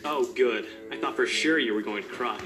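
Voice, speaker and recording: A blunt young man from a horror visual novel, loud and teasing, doubting every ghost story, as a man puts him on. He talks in a deep, booming register, with game music faint in the background. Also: moderate pace, lightly acted.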